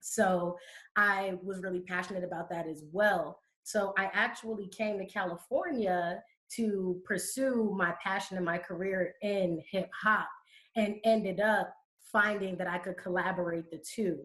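A woman's voice: mid-range voice, steady tone, measured pace, a bit bright, poor audio. A woman from Connecticut, a youth educator, answering interview questions in fluent, conversational storytelling.

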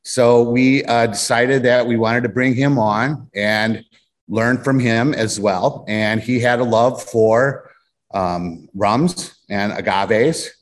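A man's voice: medium pitch, takes it slowly. A man from Illinois, a distillery co-owner, telling his story in a rambling, casual way during an interview. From Illinois, USA. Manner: rambling storyteller, repeats phrases.

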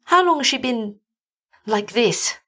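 A troubled young gentleman doctor voiced by a woman storyteller speaks earnestly and formally. He sounds nervous and solicitous, with a raised, slightly husky voice suited to a gothic tale. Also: extremely high pitch, animated, a little slow, voice pushed up, full character voice. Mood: shocked, troubled.

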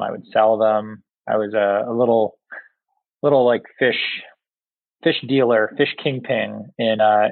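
A man who grew up in Singapore, a company founder answering interview questions in a halting way, repeating words and pausing often. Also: fairly low pitch, vocal fry, no room sound. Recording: tinny phone-like line.

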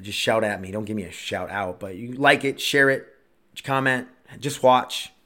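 A man's voice: on the low side, level tone, moderate pace, sharp tone. A man in his late thirties hosting a casual solo podcast, rambling and restarting his sentences, swearing freely. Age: 30s. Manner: rambling, profane, self-interrupting. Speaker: podcast host.

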